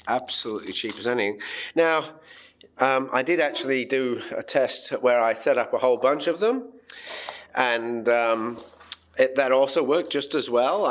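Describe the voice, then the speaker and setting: A man presenting a casual, unscripted hands-on tutorial in a chatty, informal and enthusiastic way. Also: medium pitch. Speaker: hobbyist presenter demonstrating homemade electronics.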